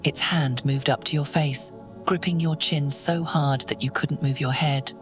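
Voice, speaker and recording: A narrator telling a horror story in second person, slow and ominous. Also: medium pitch, steady tone, faint music behind.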